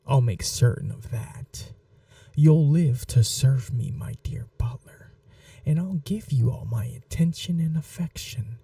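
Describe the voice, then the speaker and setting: Slow, whispery, dark-toned vampire mistress, acted by a woman. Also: a bass voice, dry recording, distant mic, heavily acted. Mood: possessive, tender. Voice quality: soft, breathy, often whispered. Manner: slow, languid, teasing and coaxing. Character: possessive, seductive vampire noblewoman doting on her butler. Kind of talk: intimate ASMR roleplay.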